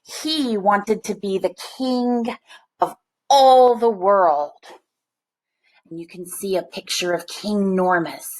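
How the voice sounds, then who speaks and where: A woman reading a picture book aloud to children, with expressive, deliberate phrasing. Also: mid-range voice, rough recording. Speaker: storybook reader. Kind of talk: read-aloud storytelling for children.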